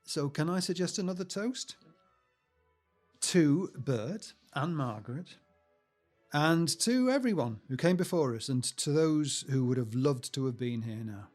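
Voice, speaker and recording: A man's voice: fairly high pitch, lively intonation, a little slow, a little mellow, faint music behind. An actor playing a cheerful, talkative middle-aged British husband and proud grandfather in a naturalistic audio drama, reflective and sentimental as he chats. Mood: toasting. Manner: chatty, reflective speech-making.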